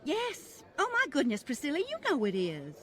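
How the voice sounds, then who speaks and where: A woman playing a genteel older lady, high voice, prim, condescending, fluttery politeness, old-time radio drama acting. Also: wildly swooping pitch, normal speed, fairly bright tone, full character voice. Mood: anxious, disapproving. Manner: prim, fluttery, affected politeness with flustered repetition.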